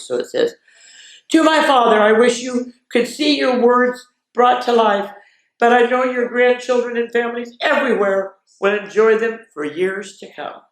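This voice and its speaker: Lady reading picture books to kids, takes it real slow, chatty asides, kinda echoey room. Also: mid-range voice. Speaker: children's story time host. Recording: echoey, roomy sound.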